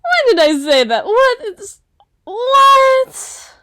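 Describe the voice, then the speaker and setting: A brash, exuberant young monster woman played by a female performer in an audio roleplay. Her voice is high, close and dry, and she is loud and excitable, blurting bluntly. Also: drawn-out words, no room sound, exaggerated voice. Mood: excited, flustered. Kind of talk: ASMR roleplay acting.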